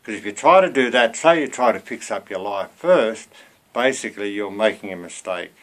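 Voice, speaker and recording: An elderly man in his seventies with a fairly low voice speaks as a lay preacher. His delivery is earnest and conversational, like an informal sermon given from a small room at home, with rhetorical questions put to the listener. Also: animated, moderate pace. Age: 70s.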